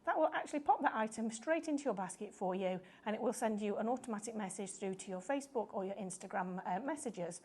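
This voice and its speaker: A woman presenting a live craft shopping show, chatty and upbeat, explaining things step by step, her voice a little croaky. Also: mid-range voice, expressive, fast talker, few pauses, darkish tone. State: slightly croaky voice.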